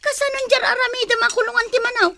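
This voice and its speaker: A woman's voice: extremely high pitch, fairly even intonation, fast, gravelly, heavy background noise. A woman acting as a fussing, protective wife in an Ilocano radio drama, scolding and exclaiming, with a northern Philippine Ilocano accent. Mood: exasperated. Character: Waldo's fussing, protective wife, exasperated but caring.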